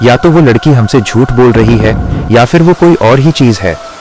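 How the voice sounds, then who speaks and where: A man's voice: mid-range voice, animated, moderate pace, noisy background. A man narrating a scripted horror story in the first person.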